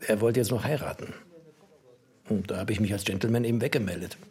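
An actor playing a wry, bookish man who narrates a literary radio play in a mannered, expressive way. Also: on the low side, quick pace, noisy background, natural voice. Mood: dry, ironic. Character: bookish, wry first-person narrator, a former interpreter.

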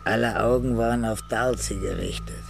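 A man narrating a crime radio drama in a measured, grave storytelling manner. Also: lowish voice. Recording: clear music under narration.

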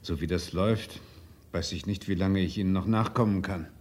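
An actor in a German crime radio drama playing an uneasy, blackmailed doctor, guarded, terse and hesitant. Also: male voice, deep voice, steady tone, brisk, a little mellow, natural voice.

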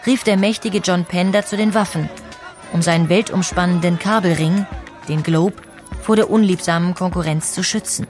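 A woman narrating a history documentary in a clear, composed storytelling manner. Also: fairly low pitch, normal speed, a little mellow, faint music behind, a faint crowd.

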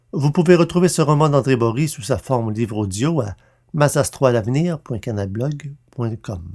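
A man's voice: medium pitch, a little mellow. A man narrating and hosting a podcast from a script, formal and carefully enunciated.

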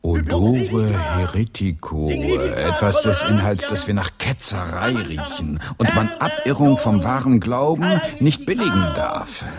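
A man's voice: fairly low pitch, lively intonation, measured pace, hardly any pauses. A man acting in a radio play as a worldly English man of letters, drawling, ironic and mocking. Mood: mocking, amused. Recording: music behind the voice. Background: music.

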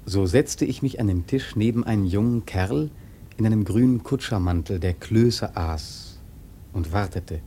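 An actor playing a wary, earnest seafarer telling his tale in a German radio play, husky and measured. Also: on the low side, warm tone, slight background noise, lightly acted. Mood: uneasy. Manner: measured, suspenseful storytelling.

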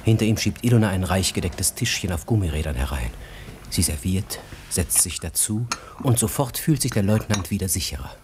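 A narrator for a period radio drama, with a low, deep voice. He tells the story in a measured, literary way and watches every detail, over clearly audible background noise. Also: animated. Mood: measured, observant. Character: sober male narrator of a literary period tale.

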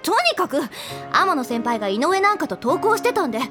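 A woman's voice: extremely high pitch, big pitch swings, brisk, over music. An actress playing a defensive, curt teenage schoolgirl in a drama CD. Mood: prickly.

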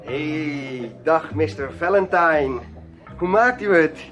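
An actor playing a jovial, obliging nightclub owner with an effusive, smooth-talking manner in a radio drama. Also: male voice, a little high, animated, slow, lo-fi sound, over music.

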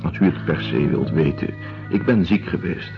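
A man's voice: rumbling low, normal speed, vocal fry. A man playing an ailing, idealistic doctor in a radio drama, insistent and dismissive about his illness. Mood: dismissive, defensive. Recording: dull radio recording with music behind.

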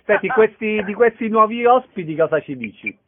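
A man's voice: high-pitched, animated, moderate pace. A man hosting a radio show and putting questions to a guest in a conversational way. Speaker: radio host, interviewer. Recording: narrow phone-like radio sound.

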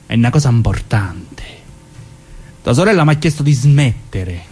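An actor playing a menacing, evasive southern Italian mafioso in a theatrical dramatic reading, with a southern accent. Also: male voice, mid-range voice, expressive, normal speed, darkish tone, breathy edge. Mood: cajoling, menacing.

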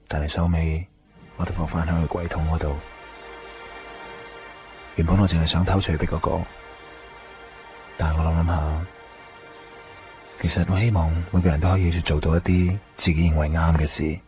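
A man's voice: low-pitched, steady tone, moderate pace, long pauses, close to an everyday voice. A man playing a subdued, world-weary suspect in a radio drama, restrained, with a Hong Kong Cantonese accent. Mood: confessional, regretful. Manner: quiet, restrained, brooding. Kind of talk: 1990s radio drama acting. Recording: dull radio recording with music behind.

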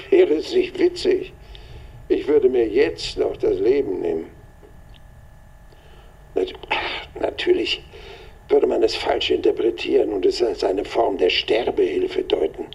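Gravelly, slow, very old man. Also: mid-range voice, big pitch swings, a little mellow, low-quality recording. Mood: musing, wry. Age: older. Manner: musing, deliberate, wry. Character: weary, reflective man in his nineties. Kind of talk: radio drama monologue.

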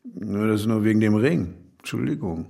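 An actor playing a polite, old-fashioned older village man in a radio drama, gravelly and husky, evasive and hesitant. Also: fairly low pitch, lively intonation, moderate pace, warm tone. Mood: evasive, weary. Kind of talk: naturalistic radio drama acting.

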